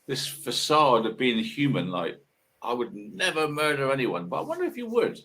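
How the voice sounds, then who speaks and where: A man's voice: medium pitch, sing-song, moderate pace, a bit bright. Musing man in his sixties. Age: 60s. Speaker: video monologue host. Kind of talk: casual rambling monologue to camera.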